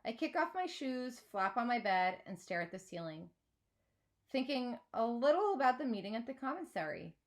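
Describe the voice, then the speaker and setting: A woman reading a children's novel aloud like a teacher, in an expressive storytelling manner. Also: fairly high pitch, moderate pace. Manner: clear storytelling with acted dialogue.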